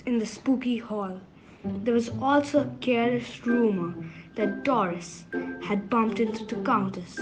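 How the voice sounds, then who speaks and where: A woman narrating a radio play in a measured storytelling manner. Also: a little high, poor audio.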